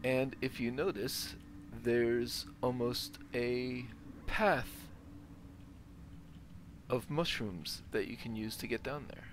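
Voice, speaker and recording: Man explaining a game, unhurried. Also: medium pitch. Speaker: let's player. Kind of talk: casual game walkthrough commentary. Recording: game ambience noise behind voice. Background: video game ambience.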